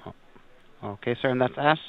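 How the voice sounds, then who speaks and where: A man working as a customer service agent on a phone call, speaking politely and apologetically, with halting delivery. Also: medium pitch, vocal fry, clean tone. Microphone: telephone. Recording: narrow tinny phone line.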